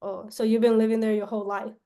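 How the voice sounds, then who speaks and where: A woman from Myanmar, a salesperson pitching her service on a call, often tagging sentences with questions and restarting them. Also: level tone, fast, mellow. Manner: pitch broken up by frequent tag questions and restarts.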